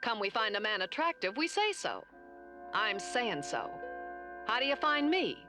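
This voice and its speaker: An actress playing a bold, flirtatious alien huntress in an animated science fiction series. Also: female voice, a little high, measured pace. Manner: forward, flirtatious. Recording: cartoon soundtrack with clear music behind.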